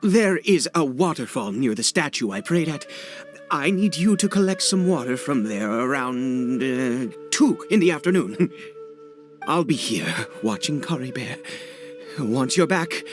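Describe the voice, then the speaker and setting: An actor playing a desperate, obsessive father in a video game, speaking in a halting, stammering, nervous mutter. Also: medium pitch, big pitch swings. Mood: tense, instructing. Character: desperate, obsessive father brewing medicine for his son. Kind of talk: dramatic video game voice acting. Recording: dialogue over background music.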